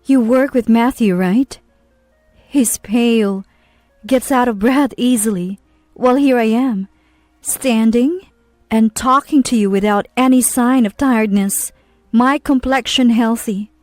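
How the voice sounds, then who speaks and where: Woman acting a firm wronged wife, raised pitch. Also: a little slow, a little mellow, no echo, faint music behind, close to an everyday voice. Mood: confronting. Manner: pointed, confronting. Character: disdainful, long-suffering wife, firm and blunt.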